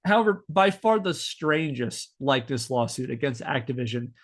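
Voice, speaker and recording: A man hosting a podcast, telling a researched story to friends in a partly scripted, measured way. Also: mid-range voice, expressive. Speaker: podcast host.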